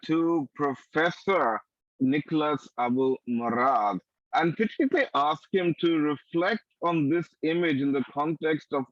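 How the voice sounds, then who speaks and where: A man's voice: mid-range voice, a bit bright, dry recording. Formal male moderator, dry lo-fi sound. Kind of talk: moderating an online panel. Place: online video call. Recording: dry, dull lo-fi call audio.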